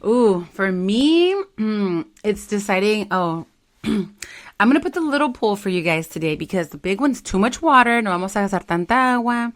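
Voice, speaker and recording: Brief: forties woman, chatty co-host, lively, mid-pitched, slips into squeaky impressions. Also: moderate pace. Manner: animated, jumps into high-pitched quoted voices. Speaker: podcast co-host. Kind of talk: casual chat.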